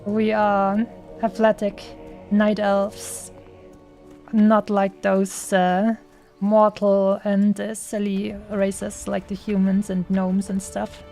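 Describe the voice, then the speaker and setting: Slow, mellow German woman streamer. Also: medium pitch, completely flat delivery, faint music behind. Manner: giggly, playful, lots of fillers. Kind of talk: casual gameplay chat.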